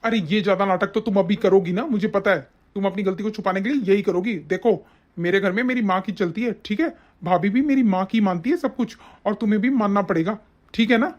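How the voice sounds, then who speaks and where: A woman's voice: medium pitch, brisk, a little mellow, close to an everyday voice. A woman playing an angry, domineering young husband and devoted son, bossy and accusing in a family melodrama. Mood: stern, dismissive. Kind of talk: domestic family melodrama acting. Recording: dull, muffled lo-fi sound.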